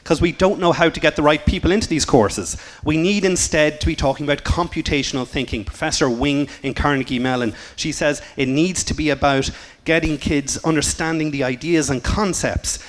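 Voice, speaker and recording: An Irish man delivering a quick, humorous conference talk. His voice sits a little high for a man, and he jokes his way through each point with lively energy. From Ireland. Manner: joking, lively, self-deprecating. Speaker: conference speaker. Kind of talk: fast-paced humorous presentation talk.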